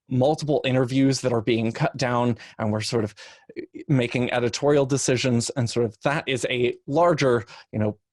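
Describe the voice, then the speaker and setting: A man speaking informally on a panel as a podcast producer, explaining things in a measured, hedging way. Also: mid-range voice, darkish tone, no room sound, clean studio sound.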